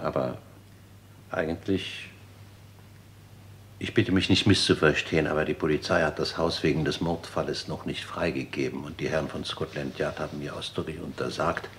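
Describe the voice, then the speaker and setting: An actor playing a dutiful, cautious manservant in a radio crime play, speaking with stiff, hesitant politeness. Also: male voice, extremely low pitch, steady tone, normal speed, fairly bright tone, slight background noise. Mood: deferential. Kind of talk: vintage German radio crime play acting.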